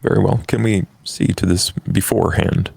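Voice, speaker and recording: A man playing a reserved gentleman vampire in a tabletop game, with measured formal speech and a gravelly voice. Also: sits low, steady tone, no echo, full character voice. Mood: reserved, polite.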